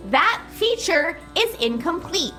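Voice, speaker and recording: A very high, bright female computer voice that drifts along at an unhurried crawl, as a woman plays the AI. She sounds dreamy and oddly literal in this comedic audio drama. Faint music hums behind her. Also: heavily acted, rough recording. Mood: deadpan. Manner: slow, flat, oddly literal delivery.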